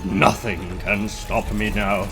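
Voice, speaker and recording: A man's voice: lowish voice, level tone, slow pace, music in the background. A man playing a boastful, menacing mad-scientist supervillain in a dramatic comic book reading. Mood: triumphant. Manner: grandiose, menacing, theatrical. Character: boastful megalomaniac supervillain scientist with mechanical arms.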